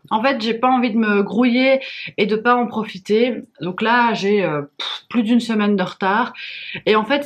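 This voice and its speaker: A young woman with a stuffy cold, chatting casually to camera about her reading, with frequent fillers. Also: medium pitch. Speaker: book vlogger. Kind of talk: casual vlog chat to camera.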